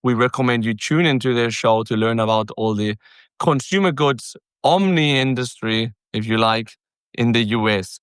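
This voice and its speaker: A man hosting a podcast about business, talking in an energetic, chatty way with frequent self-corrections. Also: mid-range voice, normal speed, clean tone, no room sound, studio quality. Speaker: podcast host. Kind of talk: casual podcast interview.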